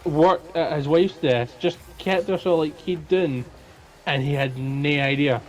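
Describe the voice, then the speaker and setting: A man's voice: a little high, a little slow, rough recording. A man with a Scottish accent co-hosting a podcast, telling stories in an informal, rambling way. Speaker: podcast co-host. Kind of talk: casual chat, storytelling.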